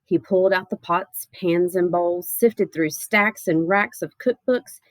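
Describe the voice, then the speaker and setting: A woman reading a children's picture book aloud in a playful, expressive way with theatrical emphasis. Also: lowish voice, unhurried, no room sound. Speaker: storybook reader.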